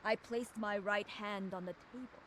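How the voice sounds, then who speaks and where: A woman's voice: medium pitch, steady tone, moderate pace, fairly bright tone, slight background noise, natural voice. An actress playing a proud, fierce young woman enforcer, delivering a solemn, controlled dramatic monologue for a video game audio log. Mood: solemn, reverent.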